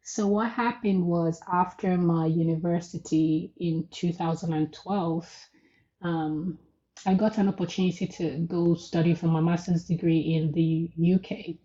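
A woman in her thirties from Nigeria, a podcast guest who tells her story in a casual, animated way and laughs often. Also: on the low side, a little slow, highs cut off. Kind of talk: casual interview chat.

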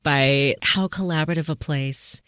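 A woman's voice: sits low, moderate pace, no echo, clean studio sound. Effusive woman podcast host. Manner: warm, effusive hosting, "so exciting". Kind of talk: podcast interview hosting.

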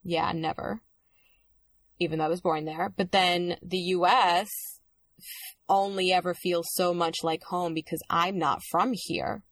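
A young woman speaking reflectively in casual conversation, with many pauses and restarts. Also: on the low side, steady tone, a bit bright, dry recording. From Dominican Republic.

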